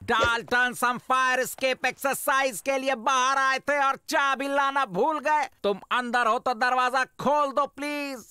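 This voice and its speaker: A man's voice: very high-pitched, fairly even intonation, measured pace, hardly any pauses, clean tone, no room sound, studio quality, heavily acted. A man voicing a fussy, officious male prison warden in a cartoon dub, with a pompous, instructing manner. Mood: pleading, indignant. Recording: loud noise behind the voice.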